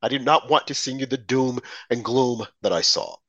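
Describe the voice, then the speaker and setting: A man co-hosting a political talk show, hoarse from a mild cold, telling rambling, animated stories. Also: mid-range voice, normal speed, dry recording, dull audio. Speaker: podcast co-host.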